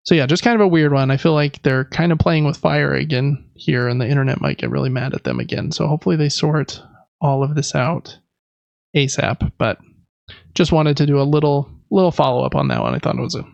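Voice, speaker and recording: A man's voice: a little high, vocal fry, darkish tone, no echo, muffled recording. A man co-hosting a podcast, talking through news casually in an informal, rambling, self-correcting way. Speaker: podcast co-host. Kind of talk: casual gaming news chat.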